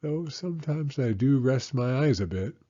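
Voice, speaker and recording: A man's voice: mid-range voice, animated, normal speed, mellow, lo-fi sound, pitched above the performer's own voice, lightly acted. A man voicing a huffy, defensive uncle in a storybook reading.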